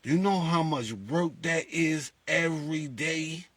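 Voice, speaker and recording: A man's voice: on the high side, slow, no echo. A man talking casually to his followers as a lottery group leader, asking rhetorical questions and acting out callers. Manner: animated, rhetorical, acts out callers.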